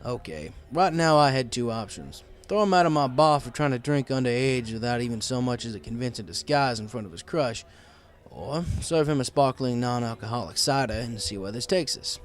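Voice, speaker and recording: A man's voice: medium pitch, lively intonation, brisk. A man reading a story aloud as first-person narrator.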